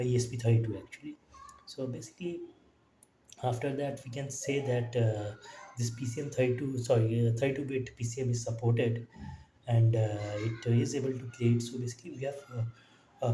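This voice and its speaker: A man giving an informal technical demo in a halting way, often tacking "actually" onto his phrases. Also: medium pitch, dark tone, slight background noise. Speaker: electronics hobbyist presenter. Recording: echoey room, distant mic, faint music.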